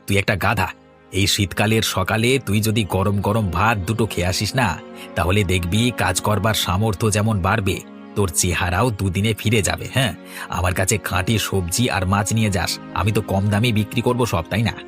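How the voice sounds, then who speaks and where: A man's voice: lowish voice, fairly even intonation, brisk, music in the background, lightly acted. A man voicing a bossy but kindly village trader in a cartoon, lecturing a younger man and reassuring him. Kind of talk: cartoon voice acting.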